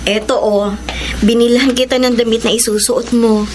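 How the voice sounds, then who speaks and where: An actress playing a tender, earnest young woman graduate in a Tagalog radio drama, with a Filipino accent and heartfelt, deliberate delivery. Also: on the high side, steady tone, background noise, lightly acted. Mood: gently insistent. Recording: music under the voice.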